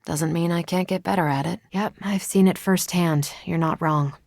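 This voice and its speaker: A confident female superhero for a video game. Her voice sits a little low, with a breezy, conversational delivery. She is friendly and teasing with a dry wit, in a very dry studio sound. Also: steady tone, moderate pace, vocal fry, a little mellow, no room sound. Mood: relaxed, wry. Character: confident, wry, friendly half-alien superhero woman soldier.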